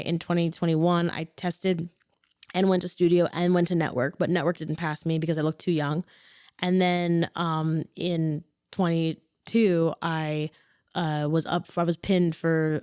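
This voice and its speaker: A woman's voice: lowish voice, clean tone, dry recording. Chatty twenties woman, dry close sound. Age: 20s. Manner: rambling, self-correcting, animated when excited. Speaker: guest, actor and comedian. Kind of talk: casual interview chat.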